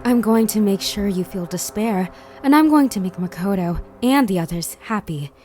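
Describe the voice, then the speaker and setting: A woman's voice: mid-range voice, animated, moderate pace, warm tone, natural voice. A woman voicing a resolute, stoic teenage girl in an anime fan dub, earnest and restrained. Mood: devoted, defiant.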